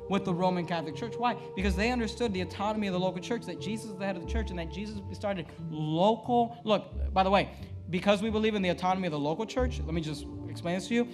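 A man in his thirties preaching an emphatic sermon at a church pulpit, firing off rhetorical questions and swinging between loud and hushed. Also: high-pitched. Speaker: pastor. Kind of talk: fiery sermon. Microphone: podium mic.

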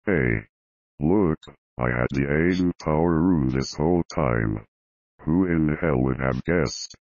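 A man doing a dopey cartoon sidekick with a very deep, slow, goofy drawl. The sound is dry and muffled. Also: a little mellow, dry recording, full character voice. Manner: dragging, goofy delivery. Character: dim boastful male sidekick hero.

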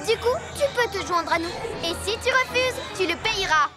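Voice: very high voice, lively intonation, moderate pace. A performer playing a scheming boy villain in a cartoon dub, a theatrical, menacing mad scientist, with music behind. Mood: sly, coaxing. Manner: theatrical, gloating, falsely sweet.

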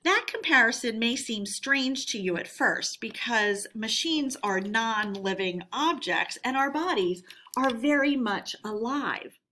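A woman teacher leads a read-aloud lesson for schoolchildren. She speaks at an unhurried pace with a lively, varied pitch. Her delivery is instructional and addressed straight to the pupils.

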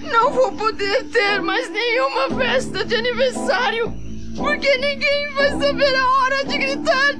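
A woman's voice: very high voice, steady tone, unhurried. A woman voicing a small, childlike male monkey in a Brazilian cartoon dub, plaintive and whiny. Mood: tearful. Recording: loud cartoon music behind.

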